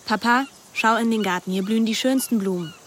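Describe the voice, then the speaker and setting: An actress playing a wry, restless teenage daughter who narrates a literary radio play in an intimate, introspective manner. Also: female voice, on the high side, brisk, a little hiss or hum, close to an everyday voice. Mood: matter-of-fact. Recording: music behind the voice.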